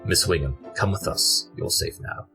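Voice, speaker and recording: A man's voice: low voice, normal speed, over music, lightly acted. A man playing a stern, precise detective pony with formal, articulate speech in a cartoon audio drama. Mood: brisk. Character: stern, precise, brilliant detective pony, Victorian gentleman type.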